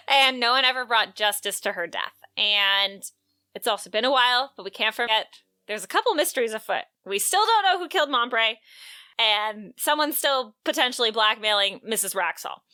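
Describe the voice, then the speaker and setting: A woman co-hosting a podcast, recapping a story conversationally with animated delivery and wry asides. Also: on the high side, normal speed, smooth, dry recording. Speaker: podcast co-host.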